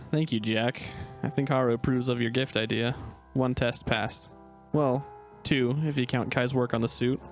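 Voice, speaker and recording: A man voicing an awkward, self-doubting young male wolf in an amateur dramatic reading, speaking with hesitant pauses. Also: medium pitch, fairly even intonation, soft background music, lightly acted. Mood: pleased. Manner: hesitant, halting, unsure.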